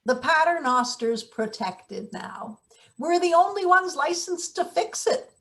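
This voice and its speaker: A woman playing a sardonic, deadpan maintenance boss in a table read of a satirical play. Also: high-pitched, unhurried, exaggerated voice. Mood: dry, blunt. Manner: deadpan, blunt. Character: gruff, sardonic female maintenance worker boss.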